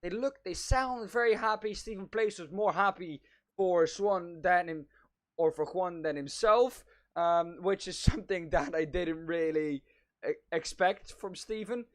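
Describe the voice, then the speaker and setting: A male co-commentator with a fairly high voice. He sounds upbeat and laughs easily as he congratulates drivers and closes the broadcast, speaking into a headset over a call. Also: measured pace. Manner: upbeat, easy laughs, wraps up the show. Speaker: race co-commentator. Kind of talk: sim racing commentary.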